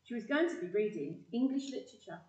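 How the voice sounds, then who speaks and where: A woman's voice: fairly high pitch, expressive, fast talker, a little mellow, dull audio, lightly acted. A woman playing a plain storyteller, reading scripted narration for an audio play. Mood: matter-of-fact. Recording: echoey room, distant microphone.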